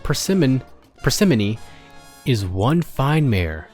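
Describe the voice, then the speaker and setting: A man's voice: medium pitch, sing-song, slow, darkish tone. A man voicing a grumpy, sarcastic pony maintenance supervisor who dictates log entries in a story. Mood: smitten, upbeat. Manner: exasperated, sarcastic, swearing. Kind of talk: dramatic storybook character reading. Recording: music behind the voice.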